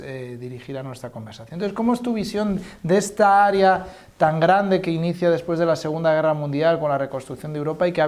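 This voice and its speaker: A man's voice: fairly high pitch, darkish tone. A male interview host who introduces his guest and asks questions in a formal way, with frequent fillers and repetitions. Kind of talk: interview hosting.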